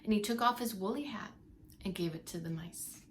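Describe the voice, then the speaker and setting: A woman's voice: medium pitch, a little fast, a little hiss or hum. A woman reading a story aloud like a preschool teacher, with dramatic emphasis and asides to young listeners. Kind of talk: expressive read-aloud storytelling for young children.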